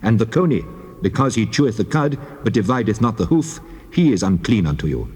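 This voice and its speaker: A man playing a solemn divine lawgiver, measured and authoritative, in a dramatized scripture reading. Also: lowish voice, sing-song, darkish tone, breathy edge. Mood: solemn, instructing. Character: the Lord God, solemn commanding divine lawgiver. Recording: long cavernous reverb, blurred voice.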